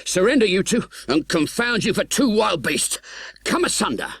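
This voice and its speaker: A man voicing a brisk, commanding English army sergeant in an audiobook, with gruff, peremptory delivery. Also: sits high, big pitch swings, fairly bright tone, no echo, studio quality, voice pushed up, heavily acted. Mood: breathless, shouting. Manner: barking, peremptory orders.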